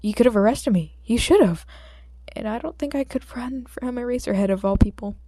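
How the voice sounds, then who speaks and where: Woman as a jittery young vigilante boy, soft-toned. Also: a little high, fairly quick, no room sound, pitched above the performer's own voice, lightly acted. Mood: anxious scolding. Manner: jittery, muttering to himself. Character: nervous, self-reproaching young vigilante boy.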